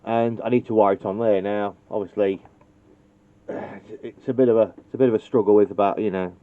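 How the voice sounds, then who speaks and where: A man's voice: lowish voice, fairly even intonation, brisk, no room sound. A man casually demonstrating how to wire a radio, thinking aloud and joking at his own expense. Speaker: CB radio technician. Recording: dull lo-fi recording.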